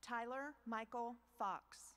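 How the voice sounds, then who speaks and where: A woman with a slightly high voice reads out graduates' names at an outdoor ceremony. She speaks slowly and formally into a podium microphone, pausing after each name. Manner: slow, measured, pausing between names. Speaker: dean reading graduate names.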